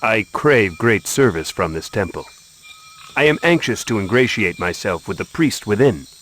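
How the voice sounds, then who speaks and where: A man's voice: lowish voice, lively intonation, moderate pace, a bit bright, slight background noise, low-quality recording. An actor playing an eager young adventurer in a video game, polite and formal in his requests. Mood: earnest, eager. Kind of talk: video game cutscene acting.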